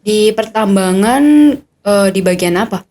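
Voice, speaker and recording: A woman's voice: mid-range voice, fairly even intonation, a little slow, a little mellow, soft background music. A young adult woman, a cadet hosting a casual podcast interview, chatty and curious, with eager follow-up questions.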